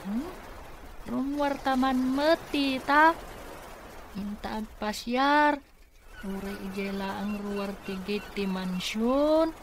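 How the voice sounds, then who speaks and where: A woman's voice: a little high, slow, pauses often. A woman with an Ilocano accent playing a curious, pleading young niece in a radio comedy drama. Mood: coaxing. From Philippines. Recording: clearly noisy background ambience.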